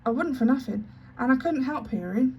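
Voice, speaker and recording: A woman's voice: a little high, fairly even intonation, brisk, lo-fi sound, slight background noise, voice pushed up, lightly acted. A woman doing a timid, weepy maid girl. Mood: pleading.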